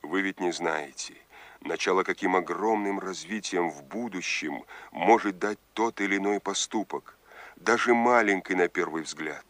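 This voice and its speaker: An actor playing a grave voice from the future, solemn and measured, in Soviet radio drama style. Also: male voice, deep voice, fairly bright tone.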